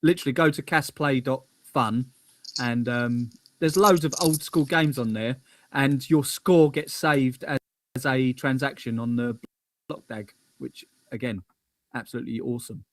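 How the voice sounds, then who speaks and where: An English man co-hosting a casual live stream, chatty and quick to laugh. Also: mid-range voice, no room sound, poor audio. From England. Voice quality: laughs often, loose and chatty. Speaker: co-host.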